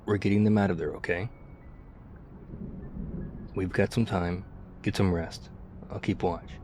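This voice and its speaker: Man playing a low-voiced, battle-weary apocalypse survivor. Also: fairly even intonation, a little slow, noisy background. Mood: terse, focused. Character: battle-hardened zombie apocalypse survivor, weary, guarded, quietly caring man. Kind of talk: ASMR audio roleplay, intimate one-sided dialogue.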